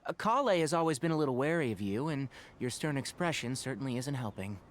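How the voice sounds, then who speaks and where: An actor playing a caring, mildly chiding young forest ranger in an anime video game, speaking articulately. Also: male voice, medium pitch, lively intonation, quick pace, a little hiss or hum, close to an everyday voice. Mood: gently chiding.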